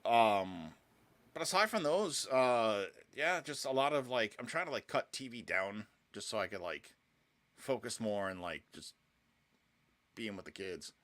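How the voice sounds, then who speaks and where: A man's voice: on the low side, animated, measured pace, fairly bright tone. A man in his thirties co-hosting a podcast, chatting casually and rambling with lots of filler words and swearing. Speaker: podcast co-host.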